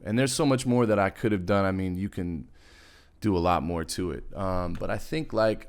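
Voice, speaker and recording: A man giving a casual music software tutorial in a laid-back, slangy, rambling way, as host. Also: low voice, clean tone. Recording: loud music over the voice. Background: hip hop beat and synth playback.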